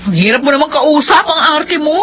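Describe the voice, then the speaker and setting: An actress playing a flirtatious, defiant unfaithful wife in a Filipino radio drama. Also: female voice, high voice, animated, fairly quick, fairly bright tone, a little hiss or hum. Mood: irritated, spiteful.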